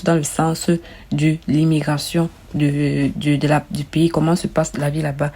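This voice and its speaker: A woman's voice: low-pitched, dark tone. A woman vlogger casually walking viewers through an application form, often repeating and rephrasing herself and calling them her friends. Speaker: immigration advice vlogger.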